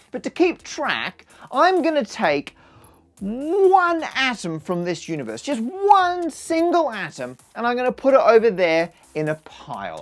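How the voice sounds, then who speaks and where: Brief: woman maths presenter, low-pitched and very animated, playful and teasing. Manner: playful, joking asides. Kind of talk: explaining maths to camera.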